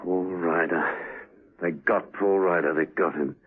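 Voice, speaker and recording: An actor playing a suave, brooding gentleman secret agent in a 1970s radio drama, clipped and wry. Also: deep voice, fairly even intonation, measured pace, slightly rough. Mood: grim. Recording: narrow muffled 1970s radio recording.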